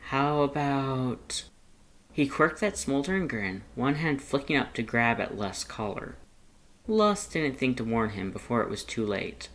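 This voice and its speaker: A narrator reading fan fiction aloud as an expressive, scripted audiobook-style story. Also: mid-range voice, normal speed.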